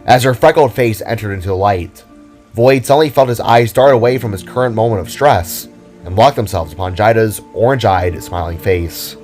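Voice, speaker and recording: A man's voice: fairly low pitch, normal speed, darkish tone, soft background music. A man narrating a scripted audio drama in a dramatic storytelling style.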